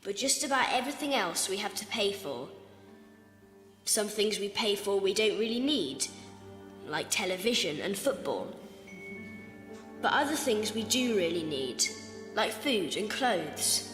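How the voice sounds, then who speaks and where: A woman's voice: medium pitch, expressive, unhurried, long pauses, a bit bright, long reverb tail, faint music behind. A woman playing an earnest, insistent young British schoolboy who mourns his father, in a radio drama. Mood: solemn, earnest. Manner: insistent, questioning.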